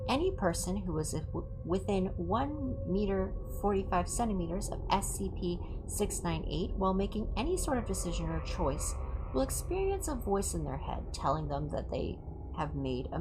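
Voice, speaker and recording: A woman livestream host reading horror fiction aloud like a clinical report, in a measured, deliberate way. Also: on the low side, low-quality recording. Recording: background music under the voice. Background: music.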